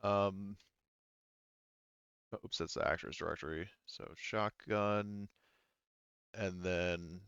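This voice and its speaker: A man's voice: low voice, steady tone, slow pace, vocal fry, long pauses, fairly bright tone, clean tone, dry recording, lo-fi sound. A man casually game mastering a tabletop RPG in a hesitant, conversational, wordy way.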